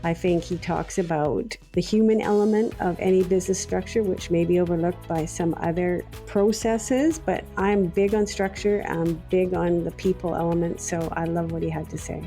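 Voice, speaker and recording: A woman's voice: on the low side, fairly even intonation, moderate pace, hardly any pauses, dark tone, faint music behind. A woman co-hosting a business podcast, earnest and enthusiastic. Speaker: podcast co-host. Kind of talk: casual podcast chat.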